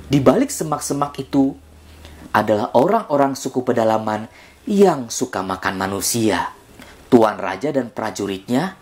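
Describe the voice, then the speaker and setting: A man telling a children's story in a deliberate, dramatic manner, ending with a moral lesson. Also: mid-range voice. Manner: expressive, deliberate, with dramatic emphasis.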